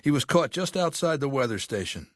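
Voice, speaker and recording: An actor playing an imperious, cerebral middle-aged warlord in a video game, speaking with deliberate, disdainful authority. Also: male voice, medium pitch, big pitch swings, fairly bright tone, no echo, studio quality. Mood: amused scorn. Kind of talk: video game character dialogue.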